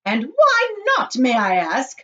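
A woman's voice: high-pitched, huge pitch swings, very fast, sharp tone, distant mic, dull audio, pitched above the performer's own voice, full character voice. A woman voicing a hysterical, indignant middle-aged mother in a storybook reading, screeching and demanding. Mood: outraged.

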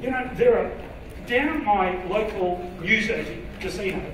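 A man's voice: high voice, unhurried, a bit bright. A middle-aged man preaching informally as a pastor, joking and putting questions to his listeners. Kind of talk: conversational sermon to a congregation. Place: church auditorium stage. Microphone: handheld microphone through PA, far camera. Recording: echoey hall PA, distant, faint noise.

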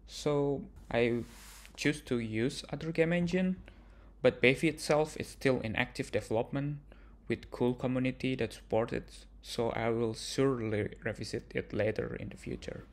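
A man's voice: mid-range voice, normal speed. A young man narrating his own game devlog in a plain, earnest way, with some non-native phrasing. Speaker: indie game developer.

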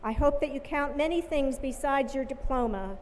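A woman speaks formally from a podium at an outdoor commencement, measured and deliberate. Her voice is high and carries through a public address system with a long reverb tail. Faint background noise sits under it. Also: a little mellow. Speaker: university official speaker. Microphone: podium microphone.

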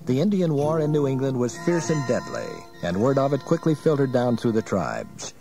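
A man's voice: mid-range voice, sing-song, moderate pace, over music. A man narrating a dramatized history from a script, as a storyteller.

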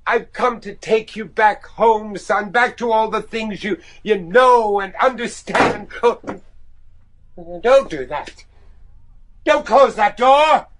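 An actor playing an older, devout judge and anguished father in a gothic horror radio drama, speaking gravely and haltingly with theatrical weight. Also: high-pitched, fairly bright tone, slight background noise. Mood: desperate, pleading, then fearful. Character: anguished, devout judge and father seeking his lost son.

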